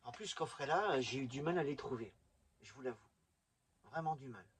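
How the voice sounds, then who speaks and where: A man's voice: mid-range voice, animated, a little fast, noisy background. A middle-aged man chatting enthusiastically and informally to viewers as he presents a hobby vlog. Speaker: video presenter showing a collection.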